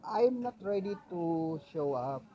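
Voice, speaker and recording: A man from the Philippines with a Filipino accent, speaking soft, broken English and some Tagalog outdoors in a crowded slum. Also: on the high side, animated, slow. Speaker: local guide, former client of a foundation. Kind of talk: casual conversation. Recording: muffled camera audio, street noise.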